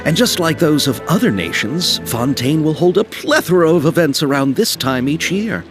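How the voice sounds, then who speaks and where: A man's voice: on the high side, moderate pace, music in the background, natural voice. A man playing an eager, polite and bookish young filmmaker in a video game, explaining things earnestly and wordily. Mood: eagerly explaining. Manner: earnest, wordy explainer, very expressive. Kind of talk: video game cutscene acting.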